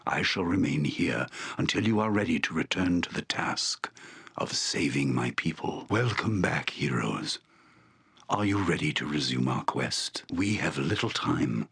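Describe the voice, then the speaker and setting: A noble fantasy-game shaman with a very deep, husky voice. He speaks slowly and formally, with solemn gravity and broad swells of intonation. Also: animated, a bit bright, exaggerated voice. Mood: solemn, purposeful. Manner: solemn, formal, deliberate delivery. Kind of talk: dramatic fantasy video game voice acting.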